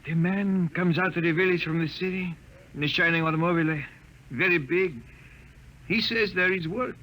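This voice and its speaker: A man's voice: high voice, normal speed, bright, forceful tone, rough recording. A man playing a bitter, homesick young Mexican laborer with a Spanish accent and broken English in a 1950s radio drama. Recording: vintage 1950 radio recording, faint noise.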